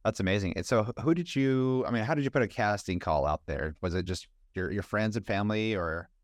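A man's voice: lowish voice, a little fast, no echo, studio quality. A man hosting a podcast, asking questions in a casual, conversational way. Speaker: podcast interviewer, host.

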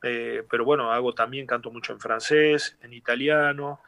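Middle-aged Argentine man, Tucumán accent, gravelly, dry close sound, halting delivery. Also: medium pitch, fairly even intonation, sharp tone, dry recording. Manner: hesitant, many fillers and restarts. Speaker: singer and former rugby player, guest.

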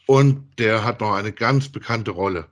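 A man's voice: fairly low pitch, measured pace. A man chats casually as a podcast co-host, in colloquial phrases with frequent little tags seeking agreement. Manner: colloquial, often tags sentences with "ja". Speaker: co-host of a podcast about radio plays. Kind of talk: casual conversational chat.

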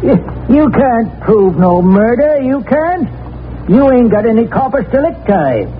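An actor playing a defiant, surly rural suspect with a folksy, taunting way of talking in a 1940s radio crime drama. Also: male voice, very high-pitched, very animated, a little slow, heavily acted. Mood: taunting, aggrieved. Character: defiant, surly rural homesteader accused of murder. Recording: noisy narrow-band 1940s radio recording.

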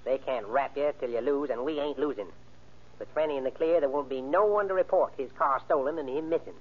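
A man's voice: medium pitch, a little fast, lightly acted. Tinny old-radio gangster ringleader, played by a man. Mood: cocky, scheming. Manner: slangy, bossy tough-guy talk. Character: cold, cocky gangster ringleader, scheming and tough. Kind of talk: old-time radio crime drama acting. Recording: tinny, band-limited old radio recording.

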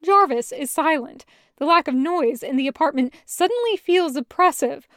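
A woman narrates a story from a script in an expressive reading style. Her voice sits high, and the recording is dry and close with little room sound. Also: moderate pace, dry recording. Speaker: podfic reader narrating fan fiction.

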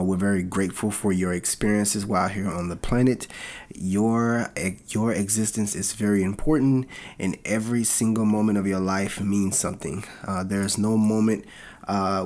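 A man co-hosting a casual podcast, his voice sitting low and a touch raspy because he has a cold. He talks earnestly and loosely, with plenty of ums and restarts. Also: darkish tone. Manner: earnest, loose, with many fillers. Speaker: podcast co-host.

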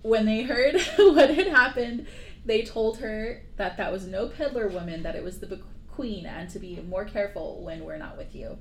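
A woman host casually retelling a dark fairy tale in an informal, slangy way, with joking asides. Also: medium pitch, expressive, normal speed. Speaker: podcast host. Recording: roomy echo with faint background noise.

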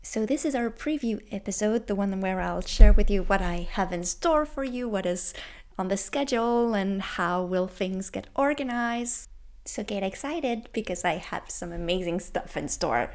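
A woman's voice: medium pitch, expressive, moderate pace, darkish tone. Upbeat French woman hosting a podcast. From France. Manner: upbeat and playful, with rising questions. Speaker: podcast host. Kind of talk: scripted solo podcast talk.

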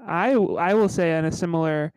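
A man's voice: a little high, normal speed, a little mellow, clear, resonant tone, no echo. A man co-hosting a podcast in casual chat, congested and stuffy-nosed, rambling with lots of "like". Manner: rambling, self-deprecating, frequent "like". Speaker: podcast co-host.

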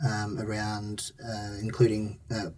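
A man asking measured questions as a podcast interviewer. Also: lowish voice, completely flat delivery, warm tone, recorded from a distance.

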